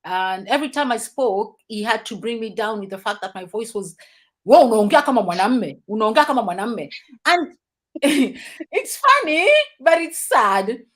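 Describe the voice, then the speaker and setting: A woman's voice: a little high, lively intonation, normal speed. A woman hosting a casual discussion, earnestly sharing her own story.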